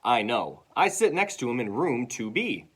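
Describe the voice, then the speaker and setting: A man reading a children's story aloud in an expressive way, acting out sounds and dialogue. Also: medium pitch, normal speed, breathy edge.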